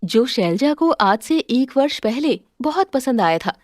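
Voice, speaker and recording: High-pitched woman narrating a story. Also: sing-song, unhurried, darkish tone, no echo, studio quality. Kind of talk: scripted narration of a cartoon moral story.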